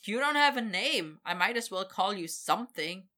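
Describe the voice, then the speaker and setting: A storyteller voices a weary human captive in an audiobook. He is dry and deadpan, stubbornly firm, with a bright, forceful edge at a middle pitch. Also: moderate pace. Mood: deadpan, teasing.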